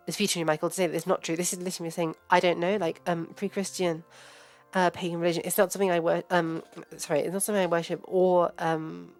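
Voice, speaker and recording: Low-pitched Englishwoman rambling over music. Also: level tone. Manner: digressive, halting, thinking aloud. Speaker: host and scholar giving a live reading and commentary. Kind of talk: rambling informal academic commentary on a livestream. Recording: music playing behind the voice. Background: background music.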